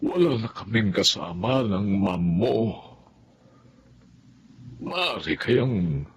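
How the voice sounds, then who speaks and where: An actor playing an older wealthy employer who speaks gently and apologetically in a radio drama. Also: male voice, fairly low pitch, sing-song, takes it slowly, husky, heavily acted. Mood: remorseful. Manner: apologetic, soft-spoken.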